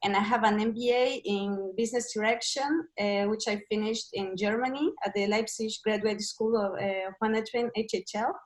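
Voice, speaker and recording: A woman from Chile and Brazil, a business consultant and interview guest, speaking as an in a halting way as she searches for words over a video call. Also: mid-range voice, fairly even intonation, rough recording.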